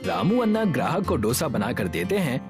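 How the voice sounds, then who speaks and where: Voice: mid-range voice, very animated, fairly quick. A narrator reading a scripted moral story for children. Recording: music behind the voice.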